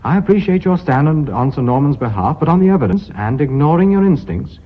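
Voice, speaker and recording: Husky-voiced British company chairman. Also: fairly high pitch, very animated. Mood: stern, courteous. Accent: British English. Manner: courteous, measured, businesslike. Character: formal, authoritative company chairman running a board meeting. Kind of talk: British radio drama acting. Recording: muffled old radio recording.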